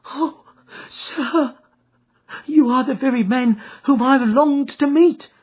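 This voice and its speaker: Man putting on a jittery little guy, whiny and quavering, voice jumps all over, husky, tinny sound. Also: sits high, expressive, moderate pace, voice pushed up. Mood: nervous. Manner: whining, quivering. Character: nervous, frightened little man.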